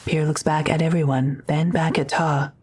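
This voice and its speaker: Low-pitched woman narrator. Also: flat delivery, normal speed, darkish tone, breathy edge. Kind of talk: scripted narration, present tense.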